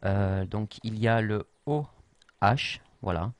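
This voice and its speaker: A man's voice: fairly low pitch, slow, no echo. A man explaining a social media tool in an unscripted tutorial, full of fillers and restarts. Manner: hesitant, many fillers and restarts. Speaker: tutorial presenter.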